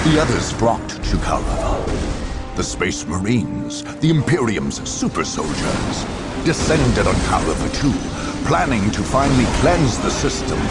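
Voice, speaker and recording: An actor narrating a game cinematic as a grave, theatrical chronicler of a far-future war, measured and ominous. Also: male voice, mid-range voice.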